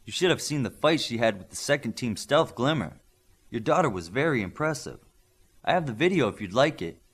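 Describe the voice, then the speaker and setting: A man voicing an assured, measured veteran combat instructor in an audiobook. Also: mid-range voice, lively intonation, slightly rough.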